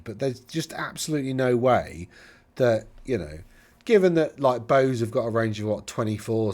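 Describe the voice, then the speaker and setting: A man with a Middle England accent and a slightly low voice talks casually on his own. He rambles, corrects himself mid-sentence and drifts into chatty asides. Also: a little mellow. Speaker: host reviewing tournament lists. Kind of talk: casual solo commentary.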